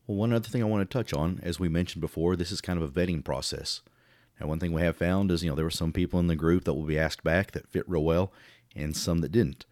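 A man's voice: sits low, steady tone, quick pace, a little mellow, dry recording, clean studio sound. A middle-aged man with a Texan accent, co-hosting a casual chat and telling stories with easy laughs. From Texas. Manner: chatty storyteller, laughs easily.

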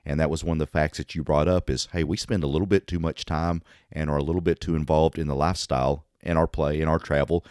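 Man, hoarse, raspy, very low voice, casual podcast chat, dry close sound. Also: steady tone, fast talker, hardly any pauses, a little mellow, no room sound. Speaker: podcast co-host.

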